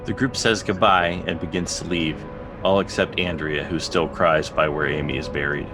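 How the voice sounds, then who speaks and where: A man's voice: low-pitched, steady tone, moderate pace. A man reading scripted drama narration as the narrator on a video call. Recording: video call under clear music.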